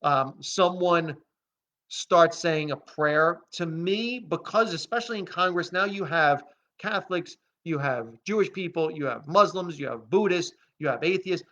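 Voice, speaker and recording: A man's voice: on the high side, a little slow, a bit bright. An American man who grew up speaking Italian gives off-the-cuff news commentary in an opinionated, emphatic, conversational way. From United States, raised by Italian immigrants. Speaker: host of a commentary vlog. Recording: dull lo-fi audio.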